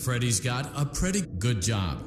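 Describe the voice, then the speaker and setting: A man's voice: mid-range voice, sing-song, unhurried. A man voicing a chipper video game instructor, guiding a repair task in a polished, announcer-like way. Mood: instructive, upbeat. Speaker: tutorial announcer. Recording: echoey hall reverb, loud music behind.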